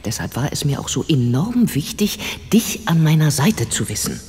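A woman's voice: deep voice, very animated, normal speed, warm tone, echoey room, in a large hall. A woman playing a polite, determined investigator in a German radio play, courteous and direct. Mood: earnest.

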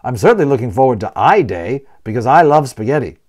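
A man's voice: mid-range voice, sing-song, fast talker, a little mellow, slight background noise, close to an everyday voice. A man voicing a curious newcomer, a professor in a storybook, who asks questions in an eager, exclamatory way. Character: curious, impressed male professor, newly arrived guest.

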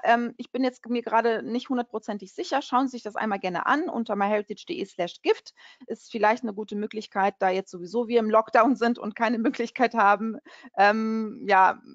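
A woman hosting an online webinar, friendly and chatty, often pausing on fillers and restarting her sentences. Her mid-range voice comes through a slightly dull web-call line. The sound is dry and close, with no room echo. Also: medium pitch. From Portugal. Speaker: webinar host, company country manager. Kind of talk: webinar introduction over slides.

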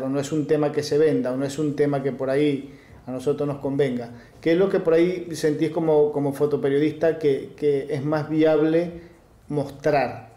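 A man's voice: on the high side, warm tone. A man with a Rioplatense Spanish accent, interviewing conversationally and rephrasing his questions in a roundabout way. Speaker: podcast host, interviewer. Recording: roomy, echoey sound.

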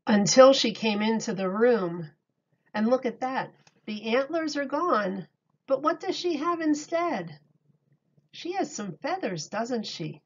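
A woman reading a picture book aloud to children like a teacher, deliberate and expressive. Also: fairly high pitch, breathy edge. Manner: deliberate, expressive, explains words for young children. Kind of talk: read-aloud storybook narration. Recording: dull lo-fi recording.

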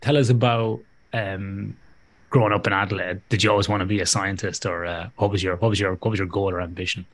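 Male podcast host, middle-aged, lowish, chatty, headset mic. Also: fairly even intonation, fast talker, a bit bright. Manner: chatty, joking, restarts often. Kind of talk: casual interview chat. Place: home office. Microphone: headset mic, video call.

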